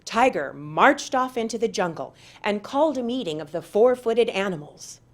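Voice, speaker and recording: A woman reading aloud to children as a storyteller, with deliberate, dramatic emphasis. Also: medium pitch, lively intonation. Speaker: parent and school board member reading aloud.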